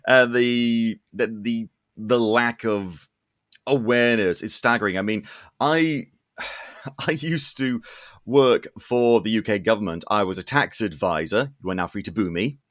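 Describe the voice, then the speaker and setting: A man's voice: fairly low pitch, a little slow, fairly bright tone. Man hosting a casual podcast interview. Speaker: podcast host.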